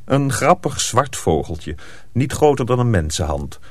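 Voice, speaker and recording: A man narrating a radio play as a measured storyteller. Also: medium pitch, big pitch swings, dry recording. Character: measured male storyteller of a dark folk tale.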